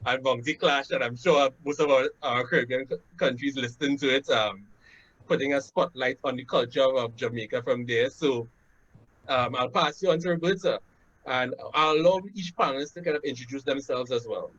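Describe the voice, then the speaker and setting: A man's voice: mid-range voice, moderate pace, fairly bright tone. Trinidadian man hosting over a muffled video call. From Trinidad and Tobago. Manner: conversational, frequent "right?" tags and "um" fillers. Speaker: webinar host. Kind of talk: casual webinar introduction. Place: home room, indoors. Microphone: laptop webcam on a video call. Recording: dull lo-fi video call audio.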